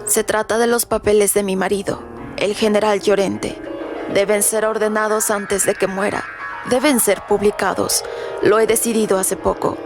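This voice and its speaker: A woman playing a frail, commanding old widow in a radio drama, speaking formally and tersely with a creaky voice. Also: mid-range voice, flat delivery, moderate pace, close to an everyday voice. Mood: imperious, businesslike. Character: frail, imperious old widow, cryptic and insistent. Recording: loud music underneath. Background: music.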